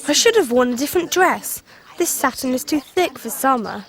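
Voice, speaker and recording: A woman's voice: high voice, expressive, normal speed, a crowd in the background. A woman playing a spirited, rebellious Victorian girl in a radio drama, earnest and well-spoken, with an English accent. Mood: curious, musing. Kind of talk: 1990s British radio drama acting.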